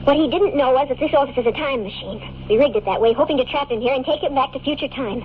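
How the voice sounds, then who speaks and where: A tinny old radio recording with faint noise carries a woman acting as a young American time traveler in love. Her voice is high, and she speaks with earnest, pleading intensity in classic radio drama fashion. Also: fast, natural voice. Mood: urgent.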